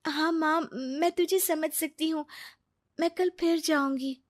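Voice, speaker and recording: A dutiful young daughter from a cartoon family drama, played by a woman. Her voice is fairly high and she speaks earnestly and obediently, as a kind-hearted girl who looks after her family. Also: steady tone, a little slow. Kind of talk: Hindi cartoon dubbing.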